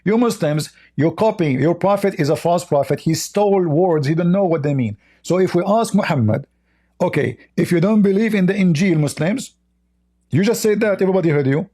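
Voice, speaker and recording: Insistent debating man with a mellow voice. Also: high voice, expressive, brisk. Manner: combative, insistent, repeats himself to interrupt. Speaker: debate show host. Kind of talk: heated religious debate.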